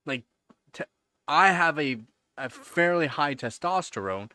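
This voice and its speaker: A man's voice: fairly high pitch, lively intonation, a little slow, bright, forceful tone, dry recording. A man in his thirties chatting casually on a livestream, answering viewers' questions in a rambling way, with many fillers and repeated words. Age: 30s. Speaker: livestream host. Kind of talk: casual live-stream chat answering viewers.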